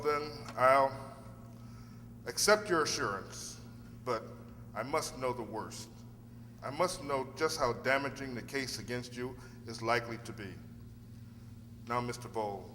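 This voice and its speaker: A man playing a measured, probing defense lawyer in an old radio drama with a reverberant sound. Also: medium pitch, sharp tone, slight background noise. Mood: grave, probing. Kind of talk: 1940s radio drama acting.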